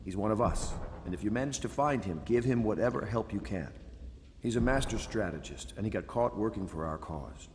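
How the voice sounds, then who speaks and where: A man's voice: fairly low pitch, animated, a little fast, a little mellow, a little hiss or hum, natural voice. A man playing a resolute admiral in a video game, giving a grave, commanding briefing in a reverberant room. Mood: businesslike. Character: resolute male admiral leading a loyalist conspiracy.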